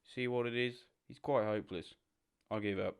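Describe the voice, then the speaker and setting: A man playing a practical, exasperated water rat in an audio drama, brisk and matter-of-fact. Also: mid-range voice, steady tone, clear, resonant tone, natural voice. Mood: fed up. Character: practical, exasperated male water rat, bossy old friend.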